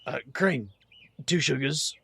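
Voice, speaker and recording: A guarded young man in an audio drama, wry and a little hesitant. His voice is husky and creaky, with lively swings in pitch. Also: slow, no echo, full character voice. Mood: sheepish.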